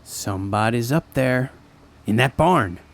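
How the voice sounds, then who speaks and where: A man's voice: mid-range voice, lively intonation, a little slow, pitched above the performer's own voice, close to an everyday voice. A man voicing a cocky, combative young farmhand with defiant rustic talk in an audio story. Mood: insistent, uneasy. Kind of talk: audio story character dialogue.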